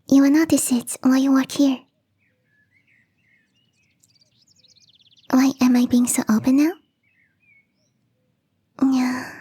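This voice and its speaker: A woman voicing a gentle young catgirl gardener in an intimate ASMR roleplay, speaking in a soft, breathy, whispery voice straight to the listener. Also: high-pitched, heavily acted. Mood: shy, confiding. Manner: gently teasing, confiding, addressing the listener directly.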